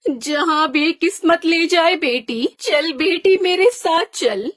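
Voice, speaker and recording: A woman's voice: very high voice, slow pace. A woman voicing a sad, loving mother bird in a children's cartoon, tender and subdued. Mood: resigned, sad. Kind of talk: Hindi kids' cartoon voice acting.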